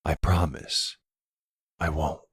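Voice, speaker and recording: A man playing a protective, charming mafia boss boyfriend in an audio roleplay, with a low, husky voice and measured, reassuring manner. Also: flat delivery, a bit bright, heavily acted. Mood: assured. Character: charming, steady young mob boss, protective devoted boyfriend. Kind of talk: ASMR dramatic audio roleplay.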